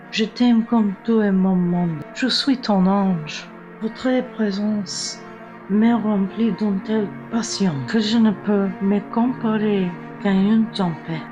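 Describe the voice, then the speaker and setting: A woman playing a vain, obsessive porcelain doll in a gothic horror audio drama, with formal, refined diction and deliberate dramatic pauses. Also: mid-range voice, lo-fi sound, close to an everyday voice. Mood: passionately devoted. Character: prim, vain, obsessive old porcelain doll, genteel lady.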